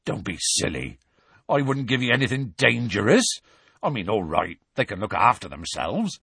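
A man's voice: on the low side, sing-song, moderate pace, vocal fry, a bit bright, breathy edge, dry recording, exaggerated voice. A man reading aloud, voicing a big, genial male groundskeeper with a hearty, folksy manner. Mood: dismissive.

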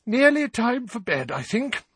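Man voicing a high-pitched, scolding protective mother. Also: wildly swooping pitch, normal speed, husky, heavily acted. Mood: sleepy. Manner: emphatic, indignant, scolding. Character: fussy, protective middle-aged mother, sharp and scolding. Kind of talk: audiobook character voice.

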